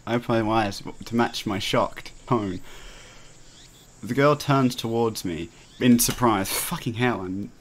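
A man's voice: mid-range voice, measured pace, a little hiss or hum. A man hosting a let's play, reading a visual novel aloud with casual asides and stumbling over the text. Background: game music.

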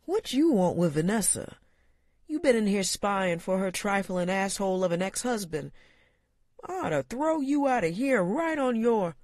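Narrator doing a gruff older bartender, raspy growl, folksy drawl, dry sound. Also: medium pitch, sing-song, normal speed, no room sound, voice pushed up. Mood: menacing. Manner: folksy drawl, loud and blustery. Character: gruff older male bartender uncle, protective.

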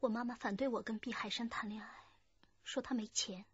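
A young woman witness, nervous and defensive. The voice is high and husky, recorded close and a little dull, in radio drama style. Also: steady tone, brisk, fairly bright tone, dry recording. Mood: anxious, pleading. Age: young adult.

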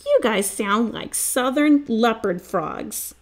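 A woman's voice: fairly high pitch, expressive, takes it slowly. A woman reading the part of an enthusiastic, emphatic young boy in a children's storybook. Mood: eager. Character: eager young boy obsessed with frog sounds.

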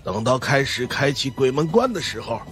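Stern older monk consultant for supernatural audiobook, played by a man; mid-pitched, grave, explaining with authority. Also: very animated, normal speed, a bit bright, faint music behind. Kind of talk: audiobook character acting.

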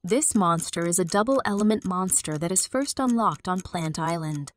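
Low-pitched woman narrating a quiz. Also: lively intonation, normal speed.

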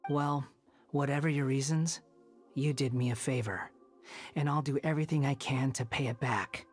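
A woman's voice: very deep voice, moderate pace, faint music behind. A woman voicing an aloof, sardonic young male wanderer who is not human, in a video game. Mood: cool. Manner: cool, dry and sardonic.

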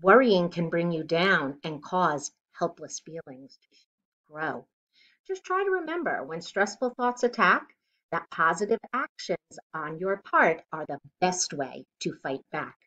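A middle-aged woman reads a rhyming children's picture book aloud in a deliberate, expressive way, recorded at home on a device mic. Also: lowish voice. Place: living room at home, indoors. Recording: dull lo-fi webcam sound.

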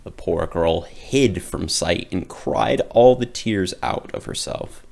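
A man reading a children's picture book aloud as a storyteller, with dramatic, drawn-out emphasis. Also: low voice, very animated, creaky, darkish tone.

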